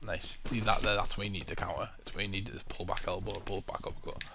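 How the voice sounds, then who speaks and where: A man's voice: deep voice, steady tone, fast, vocal fry. A young man hosting a live game stream, giving chatty play-by-play and coaching opponents, swearing now and then. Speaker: streamer host. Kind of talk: casual live gameplay commentary. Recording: noisy stream audio with controller clicks.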